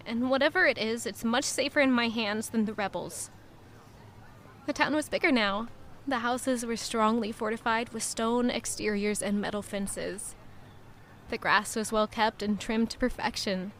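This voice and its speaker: A woman playing a quietly resolute young woman in an audio drama, narrating in a measured, reflective way. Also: fairly high pitch, a little hiss or hum, natural voice. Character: guilt-ridden, quietly resolute young woman returning to her hometown. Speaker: narrator and lead character. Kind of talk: first-person scripted audio drama narration.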